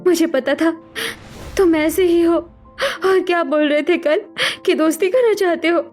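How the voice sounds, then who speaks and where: A woman's voice: very high-pitched, unhurried, a little mellow. A woman voicing a hurt, defensive young woman in a cartoon story, emotional and distrustful. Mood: hurt, angry. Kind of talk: Hindi cartoon story voice acting. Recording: music behind the voice.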